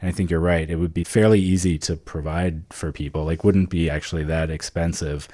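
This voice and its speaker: A man's voice: sits low, steady tone, brisk, warm tone. A man co-hosting a podcast in casual discussion, informal and thinking aloud as he goes. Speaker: podcast co-host.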